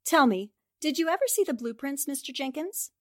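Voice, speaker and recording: Animated, inquisitive newspaperwoman. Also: high voice, brisk. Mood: probing. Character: inquisitive, determined female newspaper reporter investigating a crime. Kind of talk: 1940s radio drama acting.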